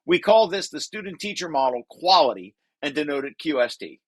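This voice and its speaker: A man presenting a technical paper aloud, reading and adding explanations in a halting way with self-corrections. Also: fairly high pitch, animated, no echo. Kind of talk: technical table read with explanatory asides.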